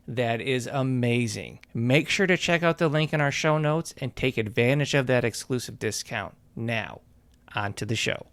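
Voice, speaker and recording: A man's voice: mid-range voice, no room sound. A man hosting a screenwriting podcast, upbeat and chatty with frequent restarts. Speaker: podcast host. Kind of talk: casual interview chat with ad read.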